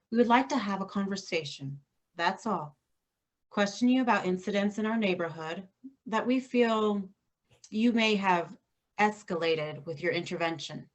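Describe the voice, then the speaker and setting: An actress playing a stern middle-aged mother who leads vigilante women in a staged reading, firm and measured. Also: medium pitch, lightly acted.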